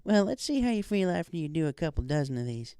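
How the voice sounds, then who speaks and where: A hearty, folksy farm pony mare for a storybook, encouraging and full of practical instruction, acted out by a man. The voice is lifted in pitch, with a mellow tone and a dry, close sound. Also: sing-song, quick pace, clean tone, dry recording. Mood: cheerful.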